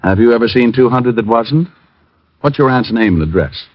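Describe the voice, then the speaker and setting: An actor playing a cynical, wisecracking private detective in a 1940s-style radio drama, with dry, sardonic, flippant delivery. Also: male voice, mid-range voice, lively intonation, normal speed, no room sound, close to an everyday voice. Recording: muffled old radio recording.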